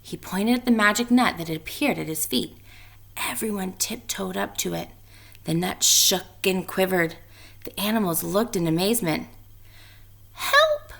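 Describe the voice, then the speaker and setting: A woman's voice: medium pitch, normal speed, creaky, a bit bright. A woman reading a children's storybook aloud and talking informally to pupils like a teacher.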